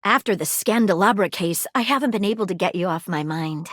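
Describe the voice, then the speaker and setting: A woman's voice: medium pitch, brisk, fairly bright tone, dry recording, clean studio sound, close to an everyday voice. A woman voicing an earnest female detective who is a household object in a dating game, with formal, precise phrasing. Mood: warm. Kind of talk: game dialogue clips. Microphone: studio booth mic.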